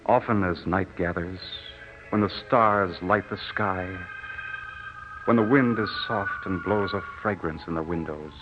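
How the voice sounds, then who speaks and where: An actor playing a lovestruck, haunted young returned soldier in an old radio drama, earnest and melodramatic. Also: male voice, deep voice, measured pace, over music. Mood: brooding, devoted. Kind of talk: 1940s radio drama acting. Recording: muffled, hissy old radio recording.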